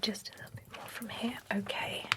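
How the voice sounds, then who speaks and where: A woman's voice: a little fast, close to an everyday voice. A woman voicing a chatty, prim female vampire who grooms werewolves, whispering close to the mic in an ASMR roleplay. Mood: soft, gossipy. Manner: whispered, gossipy and fussy. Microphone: close sensitive ASMR mic.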